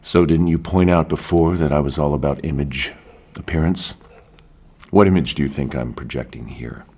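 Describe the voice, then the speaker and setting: Very deep, husky taunting suspect. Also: male voice, normal speed, a little mellow. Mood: taunting, coolly evasive. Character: cool, taunting male abduction suspect in the suburbs. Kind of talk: audio fiction acting.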